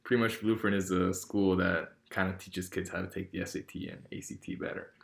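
Low-voiced teen boy hosting a podcast. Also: level tone, brisk, gravelly, echoey room. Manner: rambling, many fillers and restarts. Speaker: podcast host. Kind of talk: casual podcast chat.

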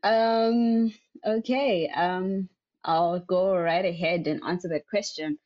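A woman's voice: lowish voice, fairly even intonation, slow pace. A young woman from South Africa, a guest answering interview questions casually, rambling with many ums. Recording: dull lo-fi sound.

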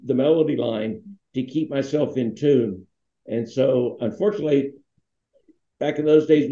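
An American man in his sixties with a very dark, mellow voice recounts memories as an interview guest. He rambles from one anecdote to the next, and the sound is echoey and a little dull. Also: medium pitch. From Pittsburgh, United States. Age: 60s. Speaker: interview guest, foundation founder. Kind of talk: reminiscing in a conversational interview. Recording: dull, roomy, echoing sound.

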